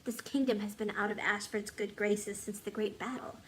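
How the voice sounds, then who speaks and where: A woman voicing a loyal, determined woman, a king's wise old friend, in earnest, measured storybook dialogue. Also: mid-range voice, fairly even intonation, a bit bright, close to an everyday voice, rough recording. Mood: grave, informative.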